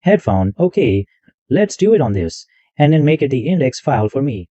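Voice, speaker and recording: A man hosting a screen-recorded software tutorial, narrating every click step by step in loose, repetitive wording. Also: medium pitch, big pitch swings, normal speed, no room sound. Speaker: tutorial host. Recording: dull lo-fi dry mic sound.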